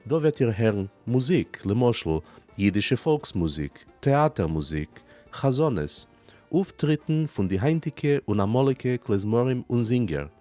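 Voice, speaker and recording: A man reading a scripted promo for a radio program in a steady, listing delivery. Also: medium pitch, unhurried, faint music behind. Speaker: station announcer.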